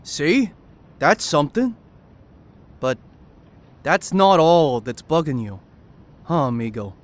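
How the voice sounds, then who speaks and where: A man's voice: on the high side, big pitch swings, slow. A man playing an easygoing, supportive buddy in an audio drama, laid-back and slangy. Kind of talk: fan audio drama acting.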